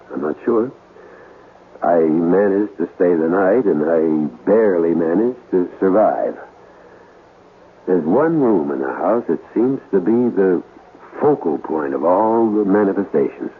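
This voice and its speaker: A man acting a grave, determined ghost hunter in a radio drama, speaking in a measured, earnest, foreboding way. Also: deep voice. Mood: grave, shaken. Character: grave, determined male ghost hunter, steady under pressure. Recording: noisy, muffled old radio broadcast.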